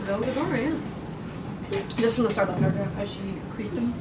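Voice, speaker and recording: A woman's voice: medium pitch, brisk. A woman hosting a live convention panel, bantering casually and playfully nitpicking as she corrects readers. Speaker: panel host. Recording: echoey, noisy room, far from mic.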